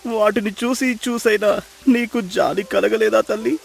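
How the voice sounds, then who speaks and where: A man's voice: high voice, fairly even intonation, unhurried, gravelly, breathy edge, full character voice. A man playing a poor, despairing fisherman in a moral story, lamenting in anguish. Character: poor, despairing fisherman husband, pleading with heaven. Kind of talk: dramatised moral story acting.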